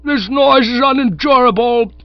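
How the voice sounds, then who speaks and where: A man voicing a pompous, indignant elderly gentleman who speaks in stiff, formal sentences in an audiobook. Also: fairly high pitch, moderate pace, gravelly, no echo, highs cut off, voice pushed up. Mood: outraged. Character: pompous elderly male nerve specialist.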